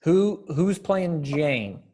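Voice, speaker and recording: A man's voice: on the high side, expressive, drawn-out words, darkish tone. Young man casually directing over video call. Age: young adult. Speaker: activity leader directing a readers theater practice. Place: indoor room on a video call. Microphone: wired earphone mic on a video call.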